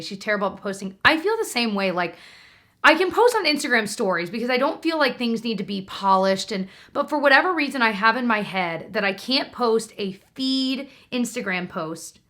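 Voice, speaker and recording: A woman's voice: mid-range voice, bright, forceful tone. A young American woman chatting casually and informally to her viewers as a beauty and lifestyle YouTuber.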